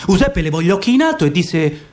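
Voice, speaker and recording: A man reading a novel aloud for radio theatre, telling it expressively with lively shifts in pace. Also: high-pitched, quick pace, background noise. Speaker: narrator. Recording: music underneath the narration.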